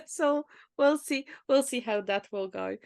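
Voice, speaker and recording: A woman's voice: high-pitched, expressive, takes it slowly, a little mellow. A woman from Poland with a Polish accent, co-hosting a podcast in a casual, reflective conversational style. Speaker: astrology podcast co-host.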